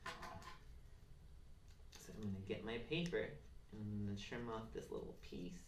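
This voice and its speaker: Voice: mid-range voice, lively intonation, a little slow. A craft presenter giving simple, encouraging step-by-step instructions to children. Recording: roomy, distant mic, faint noise and clicks.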